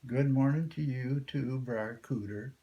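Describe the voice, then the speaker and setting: A man's voice: medium pitch, a little slow, mellow, lightly acted, poor audio. A man voicing an earnest, eager enslaved man in a folktale, speaking in folksy dialect. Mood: polite. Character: earnest enslaved young man, dreamer, pleading his case.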